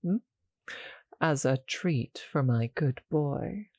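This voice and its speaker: A performer voicing a seductive, doting vampire with a possessive streak in an intimate ASMR roleplay, in a slow, breathy, purring manner. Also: mid-range voice, animated, darkish tone, no room sound, heavily acted. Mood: tender, doting.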